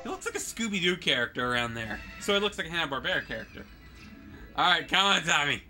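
High, lively man teasing a gamer. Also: quick pace, music in the background. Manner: teasing, animated, exclaims and laughs often. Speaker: co-host commentating a let's play. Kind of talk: joking game commentary.

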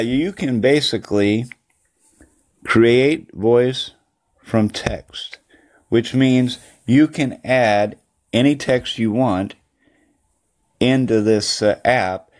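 A man giving a casual, unhurried review as a host, with halting and repetitive phrasing. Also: medium pitch, darkish tone. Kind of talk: casual app review voice-over.

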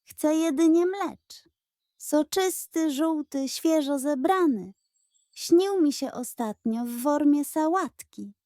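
A stern nanny goat in a children's audio tale, put on by a woman. The voice is fairly high and dry. It is curt and suspicious, grumbling out demands and conditions. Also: animated, slow, warm tone, no room sound. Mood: impatient, demanding.

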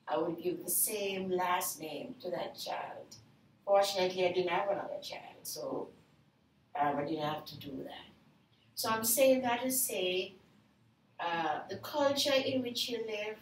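An older Jamaican woman speaks in a measured, earnest way, explaining her choices at an unhurried pace. Her voice sits in a middle register and is picked up by a camera some distance away. The room is echoey, with a faint hiss underneath. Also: medium pitch. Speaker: interviewee. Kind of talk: reflective personal storytelling. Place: sunlit room by glass doors. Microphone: far camera. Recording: roomy, far from the mic, faint noise.

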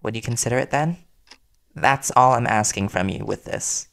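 A man playing a worried, devoted young reporter boyfriend in an intimate audio roleplay, speaking earnestly and soothingly with hesitant pauses. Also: medium pitch, creaky, a bit bright. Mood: earnest persuasion.

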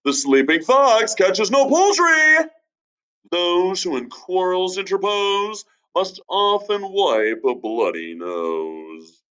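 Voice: mid-range voice, very animated, slow, a bit bright, clear, resonant tone, voice pushed up. A storyteller voicing a know-it-all colonial lad who hands out proverbs to anyone. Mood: preachy.

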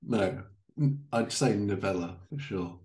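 A man's voice: lowish voice, lively intonation, measured pace, darkish tone, roomy sound. A man in his thirties hosting a casual interview podcast over a video call from his living room. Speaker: podcast host.

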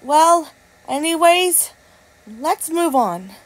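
A woman's voice: high-pitched, lively intonation, very slow, no room sound, exaggerated voice, rough recording. A woman voicing a breezy cartoon girl who talks with singsong, falsely apologetic innocence. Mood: breezy, mock-innocent. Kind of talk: amateur character voice lines.

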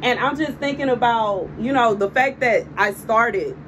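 A woman in her thirties with a Southern US accent, speaking a casual prophetic message on her channel, emphatic and repetitive with animated gestures, recorded on a phone camera at home. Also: on the high side, measured pace. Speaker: prophetic video host. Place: indoor room before window blinds. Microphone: phone camera on a desk. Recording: clear room noise behind.

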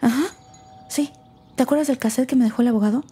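A woman's voice: sits high, quick pace, mellow, breathy edge. A woman playing a guarded, irritable young woman with a Mexican accent, speaking casually and brusquely in an audio drama. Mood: agitated, chatty. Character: guarded, irritable young woman clearing out her late father's house. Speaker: lead actor in an audio drama. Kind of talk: naturalistic audio fiction acting.

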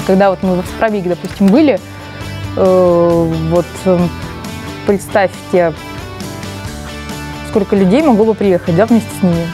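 A young woman co-hosting a live stream, chatting playfully and reading out giveaway winners. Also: mid-range voice. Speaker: stream co-host. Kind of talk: casual live stream chat.

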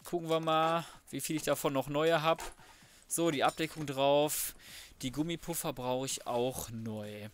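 A man's voice: fairly high pitch, fairly even intonation, a little slow, a little hiss or hum. A man giving casual, slangy gameplay commentary, chatting through each step as he goes. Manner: chatty, self-narrating, slangy. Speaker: let's player commentating.

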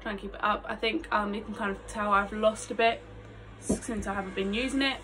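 A woman's voice: medium pitch, steady tone, a little fast, a bit bright, a little hiss or hum, rough recording. A woman in her twenties talking casually and self-deprecatingly to camera in an informal vlog style. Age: 20s. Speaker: aspiring actress. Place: small attic bedroom, indoors. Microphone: phone camera a short distance away.

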